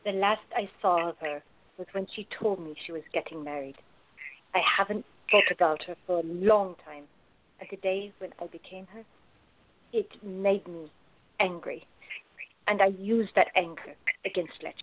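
A woman playing a protective, worldly lover with bitterness about an old flame, speaking deliberately and emphatically in a radio play. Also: on the low side, no echo, close to an everyday voice. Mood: subdued, pained. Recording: dry, narrow phone-like lo-fi sound.

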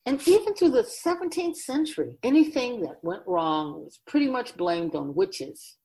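A woman's voice: on the high side, lively intonation, normal speed, warm tone, rough recording. A woman playing a wise, grave otherworldly keeper of souls in a radio drama, speaking in an oracular, instructive and emphatic way.

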